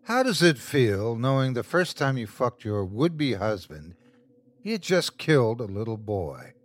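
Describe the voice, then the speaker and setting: Man voicing a gloating sadistic creature, wildly swooping pitch. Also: sing-song. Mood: cruel mockery. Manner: gloating, drawn-out mocking delivery. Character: sadistic taunting male creature, gloating tormentor. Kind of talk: horror audiobook character voice.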